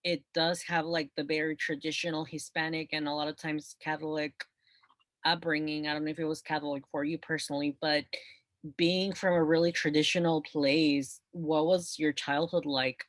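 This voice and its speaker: A man's voice: on the high side, flat delivery, normal speed, no echo. A man hosting an interview show, upbeat and chatty, laughing easily with his guest. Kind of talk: casual interview chat.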